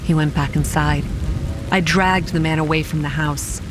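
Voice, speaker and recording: A woman playing a young campground manager, narrating a horror audio drama in a grave, measured way with a dry edge. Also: low-pitched, fairly even intonation, close to an everyday voice. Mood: grave, haunted. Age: young adult. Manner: grave, measured storytelling with dry sarcastic asides. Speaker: narrator and lead actor. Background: quiet music and rain.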